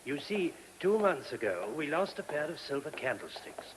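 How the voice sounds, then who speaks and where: Courteous monk on hissy old radio. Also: male voice, on the high side, big pitch swings, moderate pace, poor audio. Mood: earnest, explanatory. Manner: courteous, hesitant, explanatory. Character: gentle, earnest monk, a courteous abbey priest. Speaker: actor in radio play. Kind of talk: radio drama acting.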